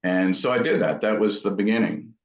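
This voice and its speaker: Low-voiced middle-aged man in a roomy space. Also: brisk. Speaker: guitar amp builder, interview guest. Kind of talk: casual interview answers, reminiscing. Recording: roomy, dull lo-fi sound.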